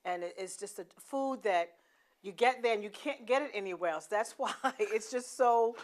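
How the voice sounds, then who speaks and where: A woman's voice: medium pitch, normal speed. Chatty woman hosting a cooking show. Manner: chatty and playful, many mm-hmms. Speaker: talk show host. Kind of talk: casual chat on a cooking show. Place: studio kitchen.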